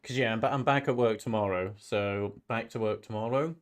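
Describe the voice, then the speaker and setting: A man's voice: on the low side, a little fast. A man with a slight cold chatting casually as a live-stream host, rambling and often repeating his phrases. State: slight head cold, sniffly. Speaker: streamer hosting a football watch-along.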